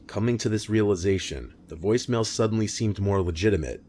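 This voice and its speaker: A man reading a scripted scary-story narration in a measured, matter-of-fact way. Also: lowish voice, mellow, slight background noise. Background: music bed.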